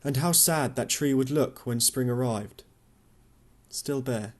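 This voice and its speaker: A man reading an audiobook, voicing a gently philosophical, persistent male neighbour in a measured, insistent manner. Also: medium pitch, lightly acted. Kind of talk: audiobook character dialogue.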